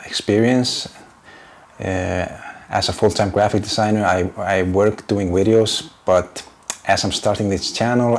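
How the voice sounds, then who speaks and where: A man's voice: lowish voice, creaky. A man in his forties with an Icelandic accent, speaking English as a second language in a casual vlog, hesitant and often searching for words with many fillers. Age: 40s. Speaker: YouTuber and graphic designer. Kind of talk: casual unscripted vlog talk.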